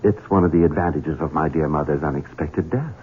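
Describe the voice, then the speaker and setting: An actor playing a young, cruel, scheming heir who speaks in a cold, menacing, insinuating way, in a radio drama. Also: male voice, rumbling low, a little fast, husky, heavily acted. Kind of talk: 1970s radio drama acting. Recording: tinny lo-fi radio broadcast.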